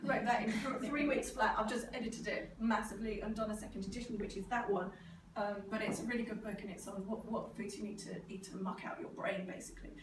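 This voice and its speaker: A middle-aged British woman with a croaky, sore-throated voice, giving an informal, animated talk and sometimes mimicking other people. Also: medium pitch, quick pace. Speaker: speaker, neurodevelopment specialist. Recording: roomy, distant microphone, clear background noise.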